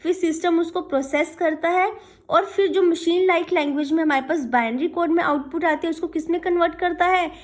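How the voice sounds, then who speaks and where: A woman's voice: very high-pitched, fairly quick. A woman giving an explanatory lecture as a programming teacher, asking and answering her own questions with frequent check-in tags and mixing in English technical terms.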